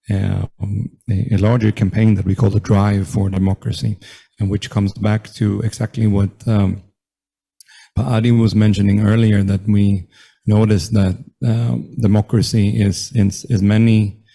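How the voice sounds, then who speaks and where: A man moderating a panel event, giving formal opening remarks in a halting way, full of fillers and restarts. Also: on the low side, creaky, mellow. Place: event room with audience.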